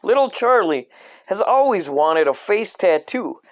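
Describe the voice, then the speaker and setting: A man reading a comedic parody children's book aloud in a deadpan, scripted storyteller style. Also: sits high, a little slow.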